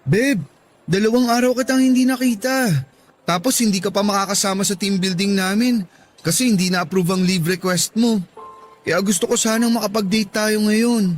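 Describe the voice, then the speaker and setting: A man's voice: high voice, moderate pace, faint music behind, natural voice. A man playing an apologetic, pleading young boyfriend in a radio drama, coaxing and eager to please. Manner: placating, coaxing.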